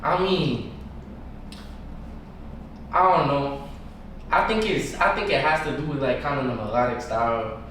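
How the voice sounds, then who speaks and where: A man's voice: medium pitch, a little slow, pauses often, fairly bright tone. A young man with a New York accent, chatting casually and in slang as a musician guest on a podcast. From New York, United States. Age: young adult. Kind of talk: casual slangy interview chat. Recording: echoey room, distant mic, background noise.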